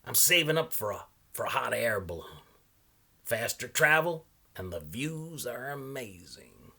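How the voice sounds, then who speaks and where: Odd old traveling salesman played by a male reader: bright, swooping, genial, musing storybook character. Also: medium pitch, big pitch swings, slightly rough, voice pushed up, exaggerated voice. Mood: mild. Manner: musing, folksy, halting asides.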